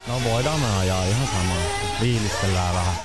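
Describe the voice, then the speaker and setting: A man from Finland, an RC car racer talking on team radio during a race and swearing through frustrated outbursts. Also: deep voice. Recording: radio feed with loud background music.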